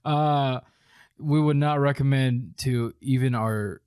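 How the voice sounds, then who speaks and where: A man's voice: medium pitch, slow pace, darkish tone, clean tone, dry recording, clean studio sound. A man co-hosting a casual film review chat, informal and a little wry. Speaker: film review show co-host.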